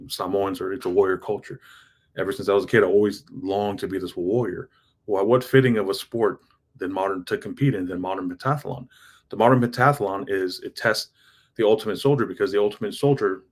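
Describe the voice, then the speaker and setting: A man in his thirties talking in an earnest, rambling way about his big goals, often restarting his sentences. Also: on the low side. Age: 30s. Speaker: self-improvement vlogger. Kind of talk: casual motivational monologue.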